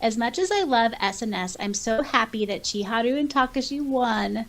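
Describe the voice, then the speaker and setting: A woman's voice: on the high side, expressive, moderate pace, fairly bright tone. A woman podcast co-host who chats casually and reads out comments in an enthusiastic, giggly manner. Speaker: podcast co-host and audiobook voice actor. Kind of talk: casual podcast chat, reading out listener comments.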